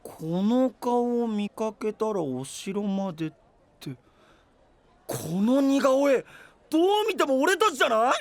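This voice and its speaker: A man's voice: extremely high pitch, animated, measured pace, no echo, heavily acted. A man playing a naive, good-hearted young knight in an anime-style voice drama, talking in a boyish, casual, exclamatory way. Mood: naive, chatty. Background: music.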